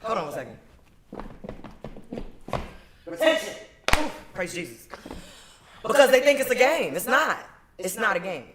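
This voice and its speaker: A woman's voice: medium pitch, big pitch swings, a little slow, pauses often, echoey room, noisy background. A woman in her twenties on a panel, talking in an emphatic, playful, preaching way. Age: 20s. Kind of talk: lively casual panel chat.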